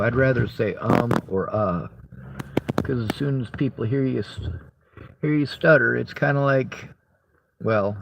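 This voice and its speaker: Tired, gravelly-voiced Southern man. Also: mid-range voice, slight background noise, low-quality recording. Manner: slow, tired, drawling delivery. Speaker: vlogger. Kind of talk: rambling monologue to camera.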